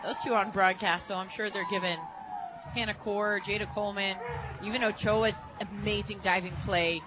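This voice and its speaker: A woman's voice: medium pitch, fairly even intonation, moderate pace, background noise. An American woman working as a radio color analyst, chatting casually and conversationally about the play. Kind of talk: casual live sports color commentary. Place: stadium radio booth.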